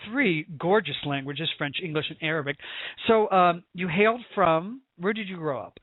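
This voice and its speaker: A high-pitched American man hosts a chatty interview in a gushing, theatrical manner. He teases as he goes, and the sound is dry, thin and phone-like. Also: normal speed, no echo. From United States. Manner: effusive, theatrical, teasing. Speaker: talk show host. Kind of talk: casual celebrity interview. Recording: tinny, phone-like narrow-band audio.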